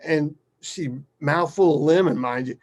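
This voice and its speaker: A man voicing a rough, animated father who reminisces fondly in an emphatic, colloquial way, within a dramatic story. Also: fairly high pitch, unhurried, pitched above the performer's own voice, lightly acted. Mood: amused, animated. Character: rough, foul-mouthed, animated father reminiscing fondly about his daughter.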